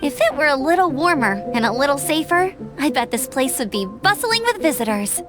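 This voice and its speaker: A spirited young scout girl, high and animated. She is earnest and encouraging in anime game style, with music behind her. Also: fairly quick. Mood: friendly, wistful. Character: spirited young female scout, friendly and caring.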